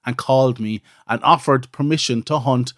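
A man's voice: mid-range voice, normal speed, a bit bright, no room sound, clean studio sound. A middle-aged Irish man hosting his own podcast in a casual, rambling, self-deprecating chat full of "so" and "you know". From Ireland. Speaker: podcast host.

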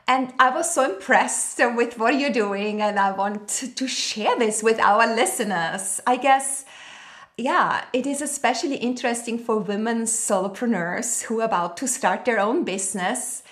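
A woman's voice: a little high, unhurried, a bit bright. A woman hosting a podcast, reading a guest's bio and asking interview questions in an earnest way. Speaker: podcast host. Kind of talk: scripted intro, then interview questions.

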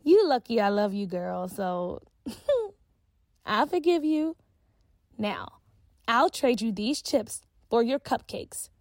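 A woman's voice: fairly high pitch, expressive, takes it slowly, pauses often, a little mellow, no echo, clean studio sound. A woman voicing a frank schoolgirl who speaks her mind in a direct, sassy way. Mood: teasing. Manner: direct, sassy, plain-spoken.

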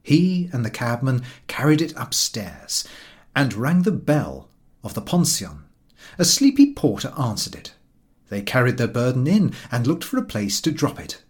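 A male narrator reads humorous fiction with theatrical care. His pitch is very lively, rising and dipping widely through measured phrases. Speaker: audiobook reader. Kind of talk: scripted literary narration of a humorous story.

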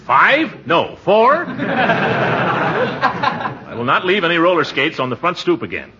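An actor playing a pompous, exasperated middle-aged uncle in a 1940s radio comedy, blustering and scolding theatrically. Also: male voice, high voice, very animated, slow, heavy background noise, heavily acted. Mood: gleefully stern. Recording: thin muffled 1940s radio recording.

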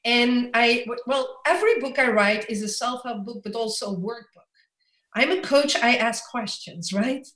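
Belgian author in an echoey room. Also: female voice, fairly high pitch, normal speed, fairly bright tone. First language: Dutch. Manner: reflective, laughs often. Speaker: author and coach. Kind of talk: conversational interview.

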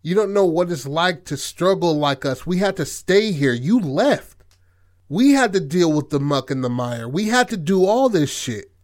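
A man voicing a bitter, hard-bitten man in a story, ranting in forceful, profane street talk. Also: on the high side, animated, racing, hardly any pauses, voice pushed up, natural voice. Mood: angry, resentful. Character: bitter, hard-bitten man who stayed behind to struggle.

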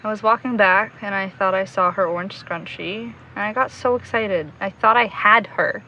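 A woman's voice: medium pitch, normal speed, creaky, sharp tone, muffled recording, a little hiss or hum. A woman playing an earnest, stubborn young investigator in a naturalistic audio drama, discouraged but driven. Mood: frustrated, dejected.

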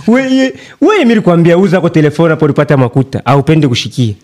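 An actor playing an animated, talkative man who keeps urging his friend, in a radio play with a Congolese accent. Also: on the high side, quick pace, natural voice. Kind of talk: radio play dialogue in colloquial register.

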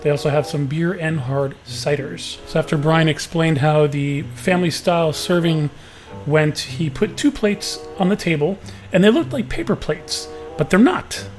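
A man hosting a podcast with a booming voice, telling a story in an easygoing, chatty way. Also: moderate pace, faint music behind. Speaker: podcast host. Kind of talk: casual podcast recap.

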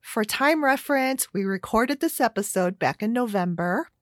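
A woman's voice: a little high, expressive, moderate pace, no room sound. Chatty woman podcast host, dry close sound. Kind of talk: casual podcast interview chat.

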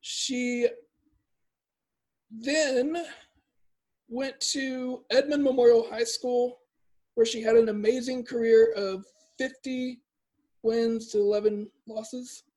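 A man's voice: very high voice, flat delivery, takes it slowly, long pauses, dark tone, low-quality recording. A man hosting a soccer podcast, introducing his guest and asking questions in a friendly, self-deprecating way. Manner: upbeat, self-deprecating about mispronouncing. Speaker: podcast host.

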